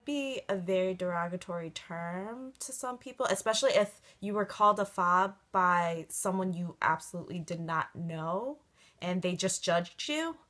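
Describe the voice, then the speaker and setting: A woman's voice: fairly low pitch, moderate pace, fairly bright tone. A woman in her twenties, raised in Connecticut, giving thoughtful casual interview answers with fillers. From Hong Kong, raised in Connecticut. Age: 20s. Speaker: student interviewee.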